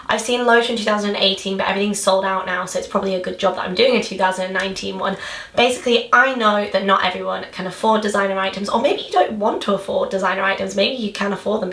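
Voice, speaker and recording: A young woman with a husky voice, chatting to camera about fashion in a bubbly way. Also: mid-range voice, fairly bright tone, roomy sound. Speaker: fashion vlogger. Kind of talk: casual chat to camera.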